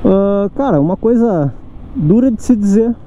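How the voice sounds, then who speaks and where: A man's voice: high voice, lively intonation, normal speed, dark tone. Frank southern Brazilian man riding a scooter. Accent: southern Brazilian Portuguese. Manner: rambling, frank, self-deprecating. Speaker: motovlogger. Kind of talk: casual riding commentary. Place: outdoors on a moving scooter in city traffic. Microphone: helmet or action-camera mic. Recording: muffled with light wind and traffic noise.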